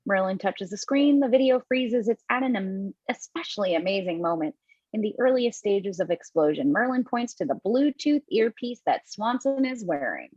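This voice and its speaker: A woman's voice: medium pitch, lively intonation, normal speed, a little mellow, no room sound, dull audio. A woman reading scripted stage directions as narrator at a table read.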